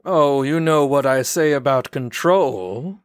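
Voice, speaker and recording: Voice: medium pitch, takes it slowly, smooth, dry recording, clean studio sound, natural voice. An actor playing a smug, manipulative doctor in an audio drama, with condescending, mock-polite, theatrical phrasing. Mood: smug, dismissive. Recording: loud music under the voice. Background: music.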